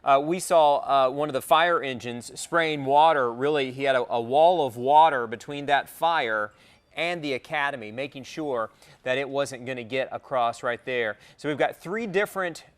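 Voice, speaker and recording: Male news anchor ad-libbing, mid-pitched. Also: smooth. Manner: halting, repeats words while ad-libbing. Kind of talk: unscripted live news commentary.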